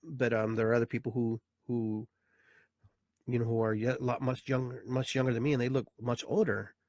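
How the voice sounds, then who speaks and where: A man's voice: mid-range voice, level tone, fairly quick, a little mellow, dry recording. Reflective man in his fifties, rambling. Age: 50s. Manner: rambling, reflective, with many fillers. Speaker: host and therapist. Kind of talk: casual live talk show monologue.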